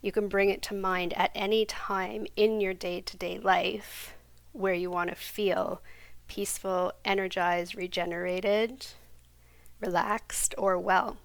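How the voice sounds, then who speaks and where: Woman, meditation guide: calm, gentle, half-whispered delivery, close and dry. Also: medium pitch, vocal fry, no room sound. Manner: slow, soft and soothing, sometimes half-whispered. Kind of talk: guided yoga nidra meditation.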